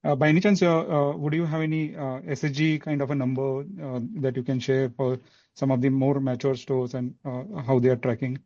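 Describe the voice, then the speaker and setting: A man with an Indian accent, a financial analyst asking questions in a halting way over a conference call. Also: mid-range voice, little pitch movement, mellow, dry recording. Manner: halting, hesitant phrasing. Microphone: conference call line. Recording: dull lo-fi phone line.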